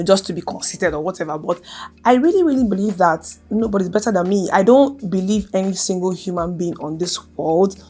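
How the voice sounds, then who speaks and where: Earnest young woman vlogger with a stutter. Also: mid-range voice. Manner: earnest, emphatic, repeats key phrases. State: speaks with a stutter. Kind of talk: casual talk to camera.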